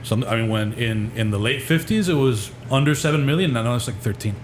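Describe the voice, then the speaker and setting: A man co-hosts a podcast and explains things at an easy pace, often adding hedges. His voice rings in an echoey room, with steady noise clearly audible behind him. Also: mid-range voice. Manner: explanatory, unhurried, hedging asides. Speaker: podcast co-host. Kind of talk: casual podcast chat.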